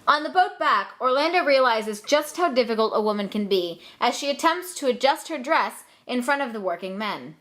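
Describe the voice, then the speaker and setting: Young female narrator, fairly high and expressive, casual flippant comic storytelling. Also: normal speed, few pauses.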